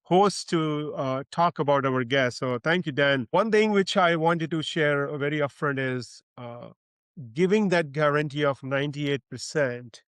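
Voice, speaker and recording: An effusive male podcast host with a slightly high-pitched voice gives a formal welcome. His delivery is full of praise and "uh" fillers. The sound is dry and close, with no room echo. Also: a little slow, a bit bright, smooth. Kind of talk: podcast interview, reading a guest introduction.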